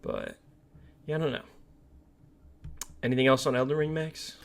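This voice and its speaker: A man's voice: mid-range voice, fairly even intonation, normal speed, creaky. A man co-hosting a casual movie and game podcast, opinionated and emphatic, repeating words for stress. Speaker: podcast co-host.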